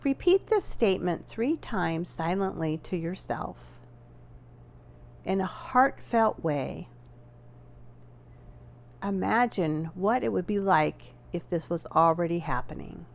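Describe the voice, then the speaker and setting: A woman leading a guided relaxation as a yoga nidra instructor, giving gentle, measured instructions with deliberate pauses. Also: fairly low pitch, lively intonation, gravelly.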